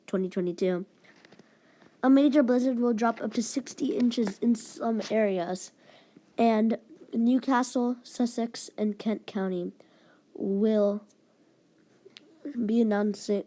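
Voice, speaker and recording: Woman, mid-range pitch, amateur newsreader, formal and halting, emergency storm bulletin style. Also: mellow, poor audio. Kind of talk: mock emergency news announcements.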